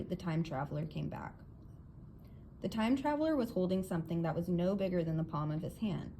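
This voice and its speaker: A woman with a fairly low voice reads a story aloud. Her phrasing is clear and expressive, like an audiobook, over some steady background noise. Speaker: audiobook reader. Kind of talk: scripted story narration, reading aloud.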